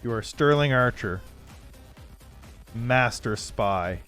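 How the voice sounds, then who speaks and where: A man hosting a casual game livestream, thinking aloud haltingly and improvising story prompts as he goes. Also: mid-range voice, animated, fairly bright tone, soft background music. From Canada. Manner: halting, thinking aloud, frequent throat clearing. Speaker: livestream host.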